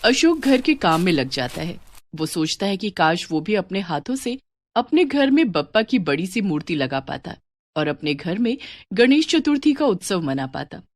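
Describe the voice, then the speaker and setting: A woman's voice: on the low side, very animated, normal speed, no room sound. A woman narrating a scripted story as its storyteller.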